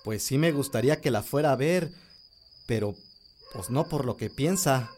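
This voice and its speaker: An actor playing a young farmer and husband who speaks calmly and reassuringly. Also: male voice, mid-range voice, moderate pace, lightly acted. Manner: calm, reassuring. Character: young male farmer Gabriel, hopeful husband. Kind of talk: radio drama acting.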